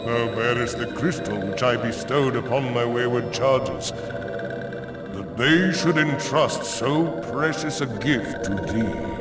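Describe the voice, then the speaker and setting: Man acting a slow, echoing ancient thunder god. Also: medium pitch, close to an everyday voice. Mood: considering, grave. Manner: grave, pronouncing, judgmental, deliberate. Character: ancient male thunder god, stern guardian of a forest. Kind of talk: solemn archaic theatrical declamation. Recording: roomy, distant echoing voice under loud music.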